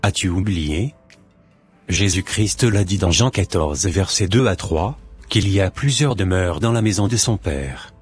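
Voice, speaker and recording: Man as a deep, solemn heavenly messenger. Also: animated, a little slow, breathy edge, full character voice. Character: solemn male heavenly messenger, stern preacher of unity. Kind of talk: scripted cartoon preaching. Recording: music behind the voice.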